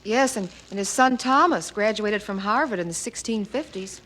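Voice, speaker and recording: An actress playing a gentle, calm young wife and expectant mother in a period audio drama, speaking with soothing patience and affection. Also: mid-range voice, moderate pace, slight background noise, lightly acted. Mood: proud, hopeful.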